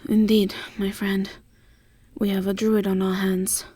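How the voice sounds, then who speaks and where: Woman doing a bossy but calm lady professor, slow n measured, bit wry, fantasy school audio drama. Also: mid-range voice, breathy edge, dry recording. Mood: wry, composed. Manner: measured, commanding, instructive. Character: authoritative, composed, wry female fantasy academy professor. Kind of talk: amateur fantasy audio roleplay acting.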